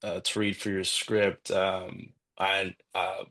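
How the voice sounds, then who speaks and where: A man, an actor, making casual remarks in a halting, earnest way. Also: low voice, monotone, a bit bright. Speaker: actor from a script read.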